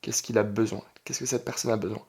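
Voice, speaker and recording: A man's voice: on the low side, fairly even intonation, fast, a little mellow, slightly rough. Young Frenchman talking off the cuff, emphatic. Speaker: online entrepreneur and video creator. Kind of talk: casual vlog monologue.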